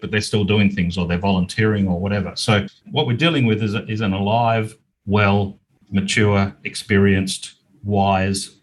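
A man's voice: lowish voice, slight background noise, poor audio. An Australian man, middle-aged or older, speaking as an outspoken, emphatic guest in a conversational interview. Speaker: interview guest, business owner and author.

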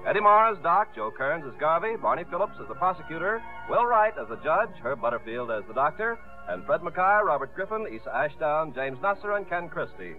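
A man's voice: fairly high pitch, big pitch swings, brisk. A man announcing cast credits in formal 1950s radio style. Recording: tinny 1950s radio broadcast over music.

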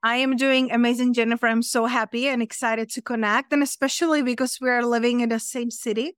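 A woman's voice: a little high, fairly even intonation, fairly quick, a bit bright, clean tone, no room sound, studio quality. A woman in her thirties from the Czech Republic with a Czech accent, chatting as a podcast guest with many fillers. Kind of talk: casual interview chat.

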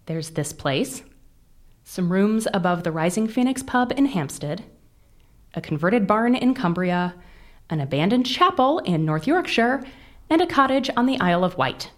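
A woman playing a sharp, impatient intelligence agent in an audio drama, speaking in a blunt briefing manner. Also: mid-range voice, big pitch swings, normal speed, lightly acted. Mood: matter-of-fact.

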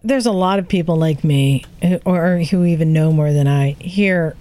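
A woman chatting casually as a podcast co-host, telling a story in an animated way and acting out what people said. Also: deep voice, moderate pace. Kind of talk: casual storytelling in a group chat.

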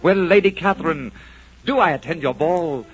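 A man acting a dashing, reckless gentleman highwayman in a 1940s radio romance, with suave theatrical delivery. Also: moderate pace, natural voice. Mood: playful, charming. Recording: dull old radio recording with music.

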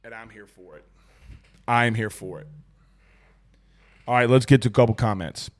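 A hoarse man hosting a casual sports talk show, excitable and emphatic, repeating lines for effect as he reads viewer comments. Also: mid-range voice, moderate pace, soft background music.

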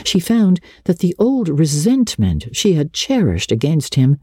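A woman reading a classic novel as a dramatic audiobook, with measured, expressive storytelling. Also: sits low, slightly rough, no room sound, studio quality. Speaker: audiobook narrator.

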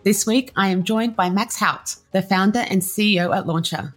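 A woman hosts a podcast in a friendly, upbeat manner. Her voice has a slightly husky grain. Faint music plays behind her. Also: mid-range voice, moderate pace. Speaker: podcast host. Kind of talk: scripted intro, then interview.

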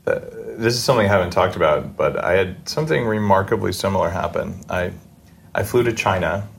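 A man's voice: sits low, vocal fry, a little hiss or hum. A man hosting a podcast interview in a casual, informal conversational way.

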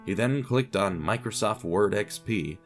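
Low-voiced man narrating with a lively range. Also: a little slow, soft background music. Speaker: narrator of an audio fiction podcast. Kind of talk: scripted dramatic storytelling narration.

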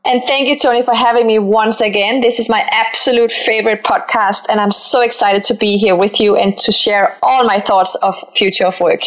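A woman from Denmark with a Danish accent, appearing as an interview guest who speaks with warm enthusiasm. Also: medium pitch, steady tone, moderate pace, hardly any pauses, muffled recording. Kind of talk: interview guest introducing herself.

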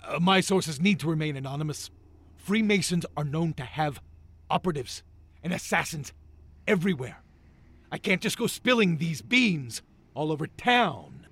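An actor playing a paranoid, excitable conspiracy theorist in an audio drama, earnest and jittery, with a raspy, gravelly voice. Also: male voice, on the high side, moderate pace, no echo. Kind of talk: audio fiction drama acting.

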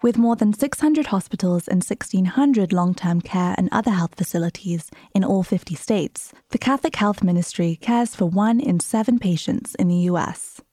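A woman reads a sponsor message in a soft, mellow voice. The sound is dry and close, with no room around it. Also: mid-range voice, moderate pace, hardly any pauses, no echo. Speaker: sponsor announcer.

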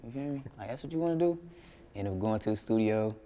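A young man from Flint, Michigan, a rapper telling stories in a casual interview, laid-back and slangy, often trailing off quietly. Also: on the low side, lively intonation, fast talker, big-room sound. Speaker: rapper guest. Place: indoor interview room.

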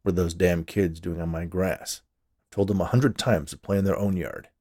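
A man voicing a grumpy, sarcastic, dismissive husband with a gruff, grumbling delivery in a storybook reading. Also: low voice, steady tone, normal speed, dark tone, dry recording, studio quality. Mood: irritated.

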